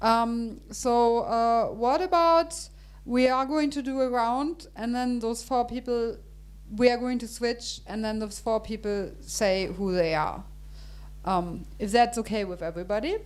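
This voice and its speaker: A woman's voice: a little high, unhurried, smooth. A woman moderating a panel event in a chatty, hesitant way, with many fillers and restarts.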